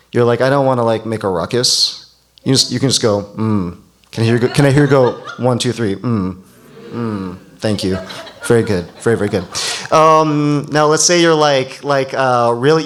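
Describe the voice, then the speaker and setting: A man's voice: medium pitch, fairly bright tone. A man hosting a live event in a casual, chatty and jokey way, speaking informally to an audience. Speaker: event host, emcee. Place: on stage at a live awards event.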